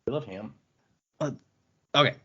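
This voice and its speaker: A man's voice: medium pitch, measured pace, fairly bright tone, slightly rough, highs cut off. A man hosting a podcast, chatting casually and reading listener emails aloud in an easygoing, agreeable manner.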